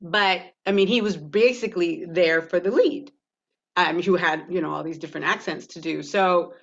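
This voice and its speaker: A woman's voice: lowish voice, moderate pace, vocal fry, dull audio. A woman from Toronto with a Canadian accent, speaking candidly and animatedly as an actor on a panel, often laughing as she talks. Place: virtual panel over video call.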